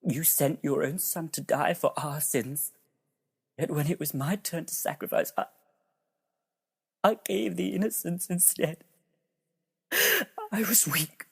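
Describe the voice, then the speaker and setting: A man playing a repentant man of faith praying haltingly in an audio drama, with a gravelly, husky voice. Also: a little high, exaggerated voice. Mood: anguished confession. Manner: halting, confessional.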